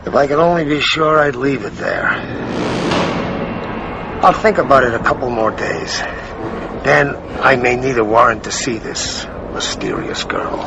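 Gravelly, probing police lieutenant. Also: male voice, medium pitch, sing-song, measured pace, dull audio, background noise. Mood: slyly probing. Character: probing, courteous police lieutenant, slyly indirect. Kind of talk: radio drama acting.

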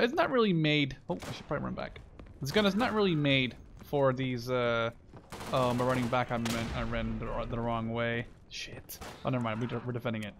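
A man's voice: medium pitch, a bit bright. A man in his twenties giving casual, rambling, sweary gameplay commentary. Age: 20s. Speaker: gaming video creator. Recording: game gunfire and artillery noise. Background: shooter game gunfire and explosions.

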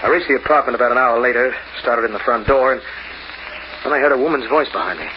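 An actor playing a cynical, streetwise locksmith who narrates in hardboiled slang, in an old-time radio noir style. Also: male voice, mid-range voice, quick pace, lightly acted. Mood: wry narrating. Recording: noisy, muffled old radio recording.

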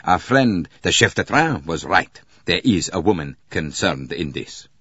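A man's voice: low voice, sing-song, unhurried, fairly bright tone, husky, dry recording, highs cut off, full character voice. A man voicing an earnest, courteous doctor in an audiobook, eager and precise in a formal way. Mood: excited. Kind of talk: audiobook character voice.

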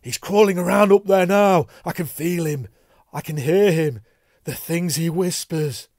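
A man's voice: high-pitched, a little slow, a little mellow, dry recording, clean studio sound, pitched above the performer's own voice. A man voicing a terrified, agitated man in a horror story, pleading to be rid of a demon. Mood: frightened. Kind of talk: dramatic horror story reading.

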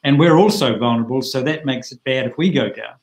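A man's voice: mid-range voice, quick pace, vocal fry. Chatty middle-aged man teaching bridge. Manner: chatty, plain-spoken, animated asides. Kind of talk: explaining a bridge hand on a video call. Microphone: video call.

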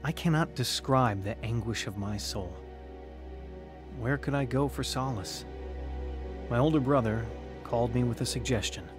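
A man's voice: medium pitch, moderate pace, long pauses, lightly acted. An actor as an earnest, troubled young man, music behind. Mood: somber, reflective. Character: troubled young man, depressed and searching, telling his story. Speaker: narrator and lead actor. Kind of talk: dramatized first-person radio testimony.

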